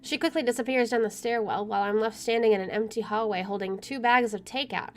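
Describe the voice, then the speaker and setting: A woman's voice: fairly high pitch, fairly even intonation, fast talker, slight background noise. A woman streaming a game, reading visual novel narration aloud and adding casual asides. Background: soft music.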